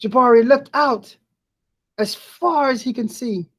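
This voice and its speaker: A woman reading a children's storybook aloud expressively and deliberately, pausing to chat to viewers. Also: a little high, fairly bright tone.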